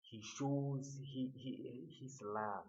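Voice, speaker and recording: Earnest guy giving a slow motivational talk, keeps repeating words, kinda muffled lo-fi sound. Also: medium pitch, fairly even intonation, darkish tone. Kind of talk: motivational monologue.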